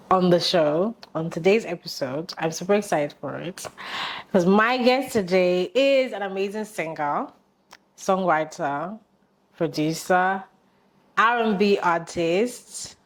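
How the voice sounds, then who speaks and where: Bubbly woman podcast host. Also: lowish voice. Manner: bubbly, enthusiastic, many quick affirmations. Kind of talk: podcast interview.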